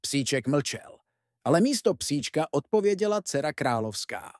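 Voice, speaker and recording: Animovaný vypravěč pohádek, živě proměnlivý hlas. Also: male voice, fairly high pitch, very animated, a little mellow, dry recording, studio quality. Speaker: fairy tale narrator. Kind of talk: scripted storytelling narration.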